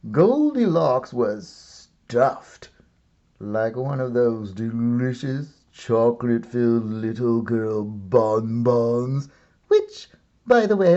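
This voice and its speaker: A man reads a children's story aloud as an expressive narrator, theatrical and deliberate with comic timing. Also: mid-range voice, muffled recording. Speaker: storybook reader, art and stories teacher.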